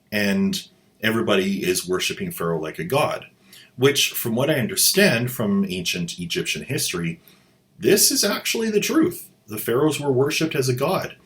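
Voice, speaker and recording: A man's voice: fairly low pitch, fairly bright tone. A man hosting a commentary show, sardonic and mocking with playful asides. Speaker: host. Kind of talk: sarcastic commentary.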